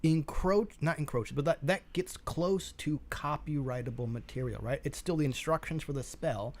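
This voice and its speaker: A man's voice: fairly high pitch, normal speed. A man co-hosting a gaming news show, giving casual, opinionated commentary in an informal, explanatory way.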